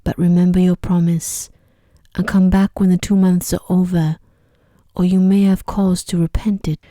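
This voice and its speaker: A woman voicing a sorrowful, devoted male beast in a mournful, hushed ASMR storybook reading. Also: fairly low pitch, little pitch movement, normal speed, no echo, clean studio sound, natural voice. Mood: solemn, tender.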